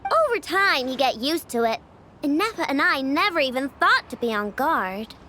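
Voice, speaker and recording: A woman playing a feisty, blunt young girl inventor in a video game, with a childlike, expressive delivery. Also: sits high, normal speed, a little hiss or hum, full character voice. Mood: uneasy.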